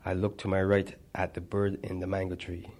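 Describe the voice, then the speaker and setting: A man reads a personal memoir aloud in a measured way. His voice sits low and stays flat in tone, and he stumbles and restarts now and then. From Barbados. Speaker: narrator.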